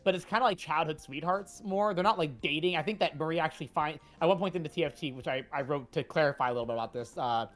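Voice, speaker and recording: A woman's voice: sits low, quick pace, hardly any pauses, faint music behind. A woman talking casually as a comic creator hosting a read-along stream.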